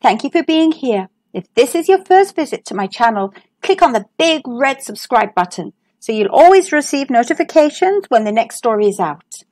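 A woman host reading a children's picture book aloud in a slow, sing-song storytime voice, recorded as a close voice-over. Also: high-pitched, big pitch swings, a little mellow.

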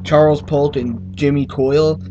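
A man's voice: fairly high pitch, steady tone, measured pace, dull audio. A young man, creator of a hobby film series, speaking earnestly with many fillers. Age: young adult. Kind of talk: casual interview. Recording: music bed underneath.

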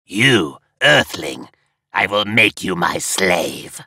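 Casting: arrogant alien parasite villain, anime game; harsh rasp, wildly swinging pitch, menacing taunts. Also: male voice, big pitch swings, slow pace, no echo, clean studio sound, exaggerated voice. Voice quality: raspy, gravelly. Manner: grandiose, menacing, taunting, often shouting. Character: arrogant, sadistic alien parasite conqueror, male, gloating villain. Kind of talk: theatrical anime game battle lines.

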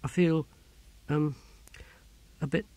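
A man's voice: medium pitch, a little slow, darkish tone, no room sound. Man voicing an earnest, hesitant young priest.

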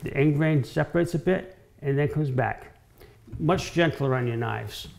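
A man's voice: on the high side, normal speed, gravelly, darkish tone. A man in his sixties explaining things informally in a demonstration, often repeating and restarting his phrases. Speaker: guest woodworker explaining cutting boards. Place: home-style kitchen, indoors.

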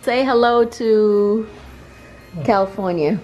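A woman's voice: medium pitch, slow, a little mellow, dull audio. A middle-aged woman hosting a casual home vlog, playfully stretching and repeating words for emphasis. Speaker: family vlogger, host. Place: home dining room and kitchen. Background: music.

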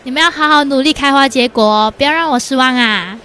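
A woman's voice: high-pitched, quick pace, bright, forceful tone, clean tone. A young woman with a Malaysian Chinese accent playing a teasing, exclamatory university student and best friend in a radio drama. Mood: chatty, teasing. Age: young adult. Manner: exclamatory, colloquial, mock-complaining.

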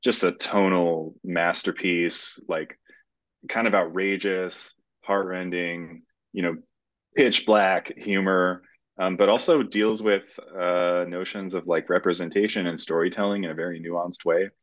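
A deep-voiced man in his thirties talks casually in an interview. The audio is a dry, somewhat muffled video call recording made indoors. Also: fairly even intonation, unhurried, fairly bright tone, dry recording. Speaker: screenwriter and interview guest. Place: indoor room at home.

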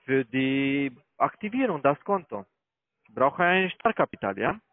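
A man who is not a native German speaker, with a foreign accent, making a persistent sales pitch as a call-centre caller. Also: big pitch swings, unhurried, dry recording. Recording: narrow tinny phone line.

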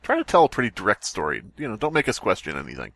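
A man's voice: on the low side, huge pitch swings, very fast, vocal fry, pushed and bright, dry recording, studio quality. A man hosting a podcast, reading a story aloud theatrically and breaking off into jokey, sweary banter. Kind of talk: dramatic story reading mixed with joking banter.

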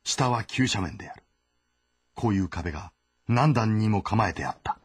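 A man's voice: fairly low pitch, lively intonation, moderate pace, slight background noise. A man narrating a historical game story in a dramatic, scripted style.

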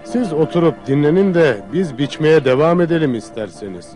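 A man's voice: fairly high pitch, lively intonation, brisk, dark tone, faint music behind, lightly acted. A man playing a trusting, reassuring young peasant brother in an old fairy-tale cartoon.

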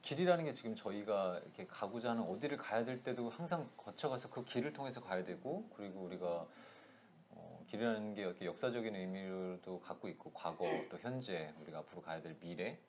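A man in his thirties, a guest in a casual interview, talking softly and thoughtfully with frequent laughs, on a lapel mic in a small indoor room. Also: lowish voice, animated, a little hiss or hum. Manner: soft-spoken, laughs often, thoughtful pauses. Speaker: actor guest.

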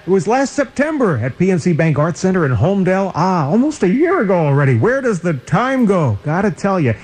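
A man's voice: high voice, sing-song, brisk, darkish tone. An American man working as a radio DJ, delivering upbeat music-station patter. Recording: radio aircheck with music behind.

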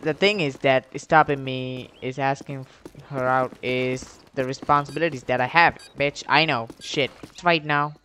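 A man's voice: medium pitch, fairly even intonation, moderate pace, hardly any pauses, pushed and bright, slight background noise. A young man casually telling a story while commentating on a game, rambling in slang with heavy swearing. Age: young adult. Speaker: gaming video creator telling stories over gameplay.

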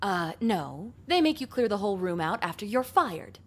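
A woman voicing a stern, exasperated high school principal in a visual novel. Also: sing-song, normal speed. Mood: resigned, frank.

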